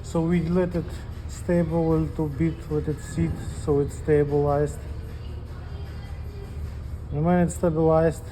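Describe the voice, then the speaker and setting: Male technician for how-to demo, slow plain delivery, relaxed timbre, slight background noise. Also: on the high side, soft background music, poor audio. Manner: plain step-by-step explaining. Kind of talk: hands-on instructional demonstration.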